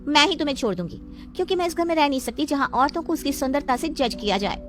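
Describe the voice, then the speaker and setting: A woman voicing a vain, spoiled young wife in a moral story, haughty, sharp-tongued and theatrical. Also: high-pitched, expressive, fast talker, music in the background. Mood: defiant.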